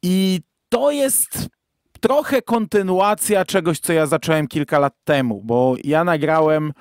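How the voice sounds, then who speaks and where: Male podcast host, fairly high voice, casual and halting delivery, dry close recording. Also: dry recording.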